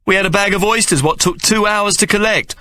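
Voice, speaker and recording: Guy doing tough boy voice, rough grammar, protesty, pitched way up. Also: fairly quick, sharp tone, no echo. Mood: protesting.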